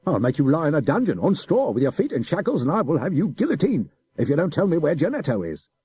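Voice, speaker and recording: Dude doing a loud pushy soldier, chuckly and bullying, husky mellow voice, dry. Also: male voice, a little high, huge pitch swings, fast talker, voice pushed up, exaggerated voice. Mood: angry threatening. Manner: blustering, chuckling, coaxing. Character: blustering middle-aged male soldier, threatening, wheedling. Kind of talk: audiobook character voice.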